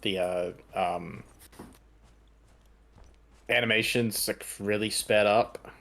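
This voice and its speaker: A man chatting casually with friends, asking slow, musing questions. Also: on the low side, fairly even intonation, creaky, pushed and bright. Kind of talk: casual chat among friends.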